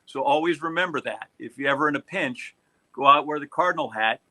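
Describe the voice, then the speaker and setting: A man's voice: a little high, brisk, bright, forceful tone. An American man hosting a casual sports podcast in a joking, teasing manner. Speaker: podcast host.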